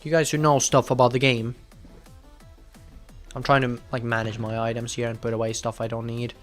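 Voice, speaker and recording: Excitable young man streaming. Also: medium pitch, normal speed, faint music behind. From Finland. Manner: chatty, bursts into loud excited outbursts. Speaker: game streamer. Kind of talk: casual live gameplay commentary.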